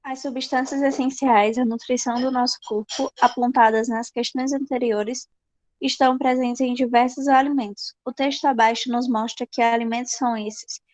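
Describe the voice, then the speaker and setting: A woman's voice: on the high side, fairly even intonation, moderate pace, darkish tone, dry recording. A female student with a Brazilian Portuguese accent reading a textbook passage aloud in an online class, careful and stumbling over long words. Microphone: video call.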